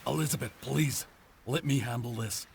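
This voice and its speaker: A man's voice: medium pitch, normal speed, creaky, slight background noise, lightly acted. Man playing a blunt, determined knight captain. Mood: earnest. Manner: terse, resolute. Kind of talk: video game cutscene acting.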